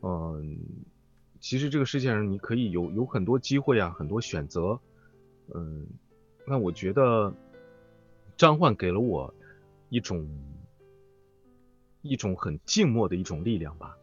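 A man's voice: lowish voice, big pitch swings, measured pace, pauses often, highs cut off, soft background music. A man speaking as a voice actor guest in an interview, reflective and hesitant as he searches for words. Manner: hesitant, thoughtful phrasing.

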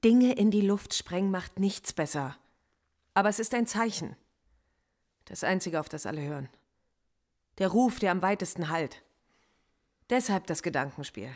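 A woman's voice: mid-range voice, animated, moderate pace, long pauses, a little mellow, natural voice. An actress playing a defiant, articulate female philosophy professor under interrogation in a radio play. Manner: defiant and articulate, precise and cutting.

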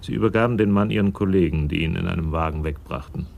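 A man's voice: sits low, quick pace, creaky, darkish tone. A male actor narrating a German radio crime drama in a detached, dry, literary storytelling style.

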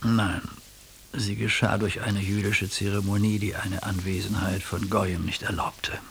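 A man's voice: fairly low pitch, flat delivery, measured pace, vocal fry, a bit bright. An actor in a radio drama playing an earnest, ailing older man who reflects on mystical experiences with educated diction. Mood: insistent. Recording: faint tape hiss.

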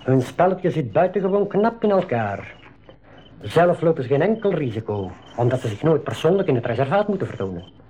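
An actor playing a confident, shrewd game reserve ranger with deliberate, formal diction in a 1980s school radio play. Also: male voice, on the high side, sing-song, dark tone. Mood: assured, explaining. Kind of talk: 1980s Dutch-language school radio drama acting. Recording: noisy, dull 1980s radio recording.

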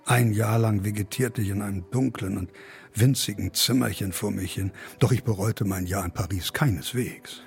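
Creaky-voiced wry older academic. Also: male voice, lowish voice, normal speed, soft background music. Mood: wry, confessional. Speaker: second narrator character. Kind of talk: first-person radio drama monologue.

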